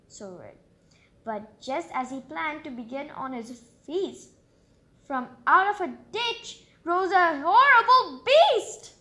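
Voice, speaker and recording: A woman reads a picture book aloud in a very high, lively voice that swings up and down. She is excitable and exclaims often, dropping in chatty, childlike asides as she hosts. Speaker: storybook reader and channel host.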